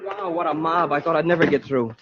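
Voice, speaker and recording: An actor playing an eager, excitable young space cadet sidekick in an old-time radio serial. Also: male voice, a little high, a little fast, noisy background, natural voice. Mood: exasperated, mimicking. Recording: dull lo-fi old radio recording.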